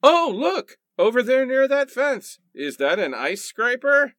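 A man's voice: extremely high pitch, very animated, a little slow, fairly bright tone, dry recording, clean studio sound, heavily acted. A man playing an exasperated, melodramatic, sarcastic character in a comedic radio play. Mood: dramatic dismay.

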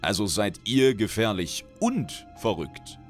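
A man's voice: on the low side, sing-song, takes it slowly, soft background music. Male spymaster, done by a male narrator: stern, curt, mocking authority for fantasy storytelling. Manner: demanding, curt.

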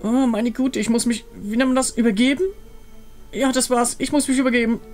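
A man's voice: extremely high pitch, fairly even intonation, a little fast, darkish tone, faint music behind, voice pushed up. A man voicing a prim, squeamish and naive young lady in a game, with a dainty, polite manner. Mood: queasy, polite.

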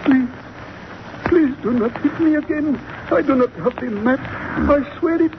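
High-voiced nervous shopkeeper. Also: male voice, a little slow. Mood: terrified pleading. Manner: anxious, formal, deferential. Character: nervous, pleading Egyptian shopkeeper, timid and shifty. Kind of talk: 1940s radio acting. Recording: muffled, noisy 1940s radio recording.

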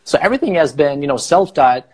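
A man in his thirties, a native Farsi speaker and English teacher, explaining slowly on a live video call through an earphone mic in a small room. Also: a little high, animated, breathy edge. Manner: explains slowly, rephrasing with many fillers. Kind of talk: casual live video chat. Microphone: earphone headset mic on a video call.

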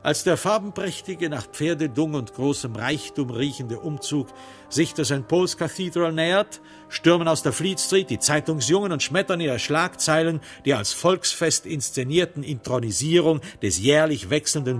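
A man's voice: a little high, expressive, normal speed, faint music behind. A man narrating a literary crime radio play in a sardonic, theatrical storytelling manner.

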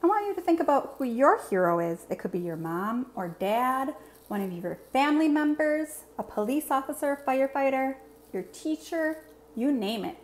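A woman reading a picture book aloud to children in an expressive teacher's style. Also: sits high, moderate pace. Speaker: read-aloud presenter, teacher. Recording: clear background room noise.

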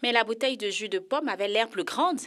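A woman voicing a curious, expressive young girl in an educational children's cartoon. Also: fairly high pitch, a little fast. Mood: puzzled.

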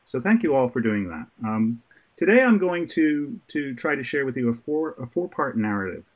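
A man's voice: mid-range voice, big pitch swings, moderate pace. A man from Ireland with a Southern American accent, presenting a webinar in a conversational way with frequent restarts. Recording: muffled online call audio.

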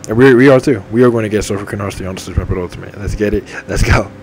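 A man in his twenties talking casually and repetitively over a game, enthusiastic and full of shout-outs. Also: lowish voice, very fast, a little hiss or hum. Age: 20s. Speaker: gaming channel host. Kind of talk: rambling casual monologue over game menu. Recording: faint game music behind. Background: faint game menu music.

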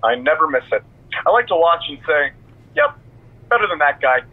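An actor playing a cocky, goofy wrestler who co-hosts a podcast in a video game, boastful and rambling with a husky voice. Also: male voice, a little high, sing-song, moderate pace, phone-quality sound. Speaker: podcast co-host. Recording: close, dry radio-like phone sound.

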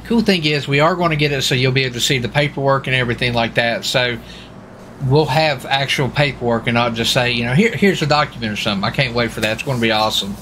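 A man's voice: mid-range voice, fast, pushed and bright, slight background noise. A man in casual roleplay game chat, talking informally with folksy exclamations. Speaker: gamer roleplaying a US marshal on stakeout.

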